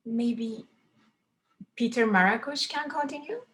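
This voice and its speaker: A woman introducing herself on a group video call in deliberate, earnest phrases. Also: a little high, fairly bright tone, a little hiss or hum, rough recording.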